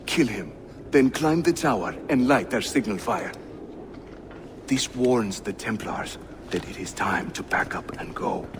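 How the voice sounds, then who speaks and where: Casting: Turkish assassin chief for a game. Affable, cocky, very expressive pitch, noisy background. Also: male voice, measured pace. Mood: businesslike. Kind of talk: video game dramatic acting. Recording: game audio with clear background noise.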